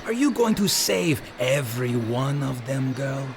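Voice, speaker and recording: An actor playing a gruff, sceptical soldier in an audio drama, blunt and challenging. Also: male voice, mid-range voice, big pitch swings, unhurried, over music. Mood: gruff disbelief.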